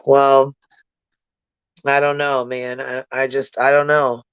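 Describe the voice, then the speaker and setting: A livestream host talks casually to viewers in blunt, slangy language full of swearing, circling back to hammer points home. The delivery is monotone and the voice is clean and smooth. The recording is dry and somewhat dull. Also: mid-range voice, takes it slowly, no room sound. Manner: blunt, profane, slangy, repeats points for emphasis. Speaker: livestream host giving commentary.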